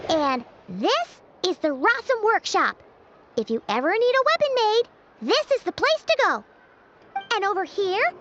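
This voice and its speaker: A woman's voice: very high voice, noisy background, exaggerated voice. A woman voicing a tiny, cheerful, fairy-like girl companion in a video game, bubbly and a little boastful. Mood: chirpy, explaining. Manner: bubbly, boastful, speaks of herself in third person. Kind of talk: video game character dialogue. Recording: dull game stream audio under music.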